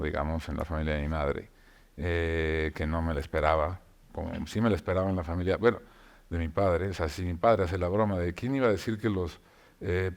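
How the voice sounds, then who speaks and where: A man, a novelist, talking reflectively about writing, with frequent pauses and restarts. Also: low-pitched. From Mexico. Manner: reflective, many filler hesitations and restarts. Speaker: novelist guest. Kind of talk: conversational talk show discussion.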